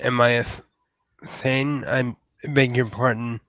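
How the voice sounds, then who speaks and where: A man's voice: mid-range voice, fairly even intonation, slow, a bit bright, no echo, lo-fi sound. A man playing a nervous, awkward young male troll, speaking in a hesitant, stammering, politely formal and apologetic way in a tabletop roleplaying game.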